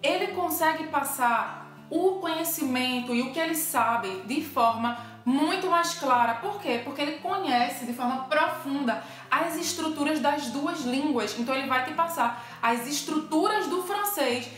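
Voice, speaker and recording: A woman with a high voice and a Brazilian accent speaks as a teacher and co-host in a playful debate. The room echoes strongly around her, and faint music plays behind. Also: measured pace, fairly bright tone. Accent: Brazilian Portuguese. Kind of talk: explanatory video talk, playful debate.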